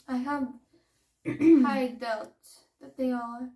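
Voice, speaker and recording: A woman's voice: high voice, fairly even intonation, drawn-out words, dark tone, exaggerated voice. A woman playing a young fairy pupil in a fantasy roleplay, cheeky, skeptical and argumentative, in a roomy, echoing recording. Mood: cheeky, doubting. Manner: pert, questioning.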